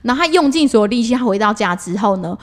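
Expressive Taiwanese woman, very lively pitch. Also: fast. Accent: Taiwanese Mandarin. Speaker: podcast co-host. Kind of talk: casual chat.

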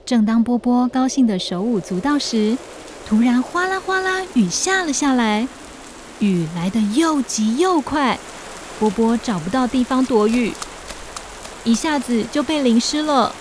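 A woman narrating a scripted children's story in an expressive storytelling manner. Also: on the high side, measured pace, slight background noise.

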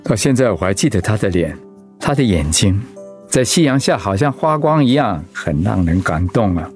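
An actor with a Taiwanese accent playing a gentle, ailing pastor and husband in a radio drama, earnest and reflective. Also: male voice, fairly low pitch, big pitch swings, moderate pace, faint music behind. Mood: tender, reflective. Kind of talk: Mandarin radio drama acting.

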